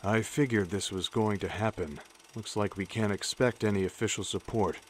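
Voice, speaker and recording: A man as low-voiced, steady army colonel. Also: slight background noise, natural voice. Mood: resigned, firm. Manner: measured, matter-of-fact. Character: calm, steady older male army colonel. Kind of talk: scripted audio drama acting. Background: music.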